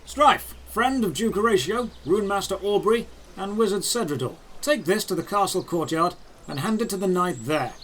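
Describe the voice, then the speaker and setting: A man playing a calm, all-knowing old knight spymaster in a fantasy audio drama, measured and emotionless. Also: high-pitched, slight background noise, poor audio. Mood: calm, commanding. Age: older. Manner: measured, emotionless, matter-of-fact.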